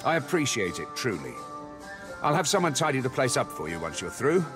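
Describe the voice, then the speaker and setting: An actor playing a proud middle-aged tavern keeper and former sailor, chatty and hospitable in a bluff way. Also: male voice, medium pitch, very animated, brisk, lightly acted. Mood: businesslike. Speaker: innkeeper. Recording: game soundtrack under the voice. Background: music.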